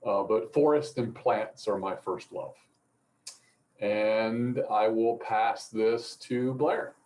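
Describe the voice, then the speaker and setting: A middle-aged man from Appalachia speaking as a professor on a panel, explaining things in a measured way. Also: on the low side, steady tone, darkish tone. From Appalachia, mountains of North Carolina and Virginia. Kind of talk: informal panel talk and explanation.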